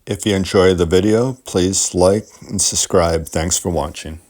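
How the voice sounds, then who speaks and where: Low-voiced older man. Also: fairly even intonation, unhurried, a little mellow. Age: 60s. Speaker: hobbyist video maker. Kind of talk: casual hobby video narration.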